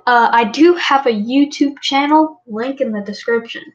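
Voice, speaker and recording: A woman guest on a casual podcast who talks slowly and spells things out carefully. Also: a little high. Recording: roomy, dull lo-fi sound.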